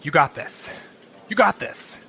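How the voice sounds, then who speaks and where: An actor playing a nervous young office temp in a radio play, hesitant and halting. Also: male voice, on the high side, expressive, vocal fry, bright, forceful tone, slight background noise, exaggerated voice. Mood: self-soothing.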